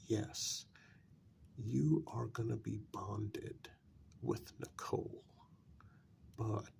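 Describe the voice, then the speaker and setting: A man playing a calm, coldly persuasive vampire in a soft-spoken ASMR role-play, talking deliberately in a gravelly, breathy voice. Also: mid-range voice, a little hiss or hum. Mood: persuading. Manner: slow, deliberate, pausing between phrases.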